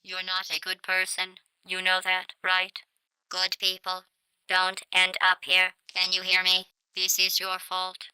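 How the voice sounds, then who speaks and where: A woman playing a coldly polite, menacing artificial intelligence in a video game, deadpan and formal, with a synthetic voice flattened to a monotone. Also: a little slow, clear, resonant tone, no echo, low-quality recording. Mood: accusing, threatening. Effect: synthetic robot voice, pitch flattened to monotone. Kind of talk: scripted video game voice lines.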